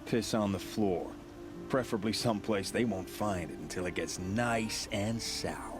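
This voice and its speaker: A man's voice: fairly low pitch, measured pace, music in the background, lightly acted. An actor playing a blunt, disgruntled male hunter in a video game, speaking in a dry, sardonic way. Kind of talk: video game dialogue acting.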